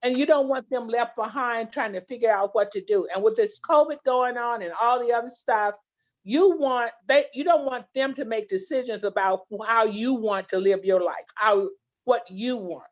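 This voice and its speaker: A woman's voice: fairly high pitch, normal speed. A woman, a guest in an interview, telling earnest stories with many restarts. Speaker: guest, legal plan representative. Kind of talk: interview conversation. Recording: dull lo-fi sound.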